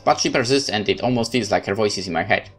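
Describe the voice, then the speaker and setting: A man's voice: on the low side, fairly bright tone, soft background music. A man giving a theatrical first-person reading of a horror visual novel. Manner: measured, theatrical narration. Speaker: game let's-play narrator.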